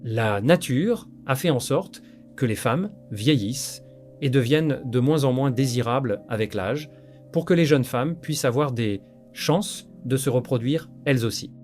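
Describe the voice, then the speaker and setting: A man's voice: mid-range voice, animated, measured pace, darkish tone, soft background music. Man with blunt voice-over commentary. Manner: blunt, lecturing. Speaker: commentary channel host.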